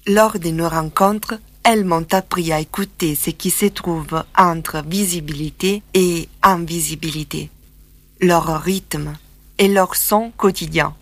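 A woman from southern Italy, narrating a documentary in a calm, reflective tone. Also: lowish voice, takes it slowly, dry recording. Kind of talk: scripted narration.

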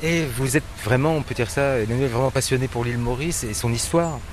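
Male interviewer, brisk pace, prompting questions, faint traffic noise. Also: medium pitch. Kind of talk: conversational interview.

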